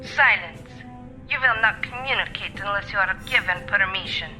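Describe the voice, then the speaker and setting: A woman's voice: medium pitch, normal speed, pushed and bright, faint music behind, poor audio. A woman playing a stern, obedient armored Valkyrie warrior in an audio drama, clipped, formal and commanding.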